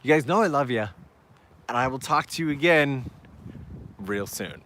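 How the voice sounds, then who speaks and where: A man hosting a live travel stream outdoors, chatting upbeat to viewers while walking, his voice going hoarse. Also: medium pitch, animated, moderate pace, background noise. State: losing his voice. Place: outdoors on town backstreets at evening.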